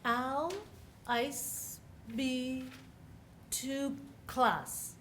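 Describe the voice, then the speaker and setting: A woman's voice: high-pitched, steady tone, extremely slow, fairly bright tone, slight background noise. A woman performing experimental sound poetry, chanting fragments of words and syllables. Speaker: choir performer.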